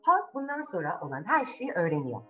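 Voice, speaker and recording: A woman's voice: on the low side, sing-song, a little fast, bright, forceful tone, dull audio, soft background music. A woman storyteller retelling a fairy tale to children in a dramatic, conversational way, with rhetorical questions and repetition. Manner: dramatic, rhetorical questions, repeats phrases for suspense. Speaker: children's storyteller, channel host.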